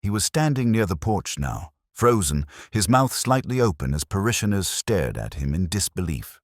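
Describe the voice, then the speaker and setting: A man with an extremely deep voice narrates a scripted mystery story. His pitch moves in lively swings, and the sound is dry and close. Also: moderate pace, dry recording.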